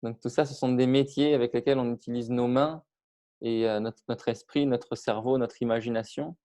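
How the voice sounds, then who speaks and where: A man's voice: medium pitch, steady tone, moderate pace, dark tone. A middle-aged man from Iran, a public speaking trainer, talking casually with many hesitations and restarts. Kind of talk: casual interview on video call.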